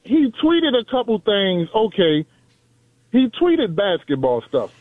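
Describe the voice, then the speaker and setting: A man's voice: high voice, wildly swooping pitch, measured pace, no echo. A man of forty calling in to a sports show, blunt and emphatic. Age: 40s. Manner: blunt, emphatic, calls people bro. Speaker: caller. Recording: dry tinny phone line.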